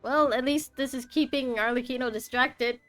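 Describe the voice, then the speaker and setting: A woman's voice: high-pitched, steady tone, normal speed, fairly bright tone, faint music behind. A woman giving casual, chatty live commentary as she streams a game and reacts to it.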